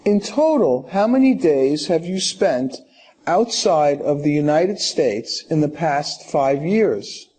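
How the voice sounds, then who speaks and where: A man's voice: fairly high pitch, animated, slow pace, warm tone. A man playing an interviewing officer, asking formal, direct, scripted questions. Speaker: interviewing officer in a role-play.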